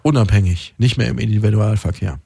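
A man's voice: fairly low pitch, fast, vocal fry, mellow, slightly rough, no echo, studio quality. A man hosting a radio interview, putting questions and addressing listeners in a conversational way.

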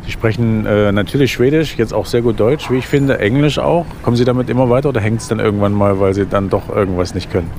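A man hosting a radio interview show, asking questions in a brisk, conversational way. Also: fairly low pitch, darkish tone, a little hiss or hum.

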